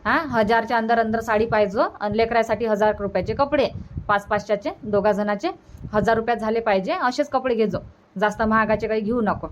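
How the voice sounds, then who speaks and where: A woman's voice: on the high side, fairly even intonation, fairly quick, muffled recording, lightly acted. Woman playing nagging rural Marathi mother, noisy track. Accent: Marathi of Vidarbha. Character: older village mother, nagging and thrifty. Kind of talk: cartoon comedy dub.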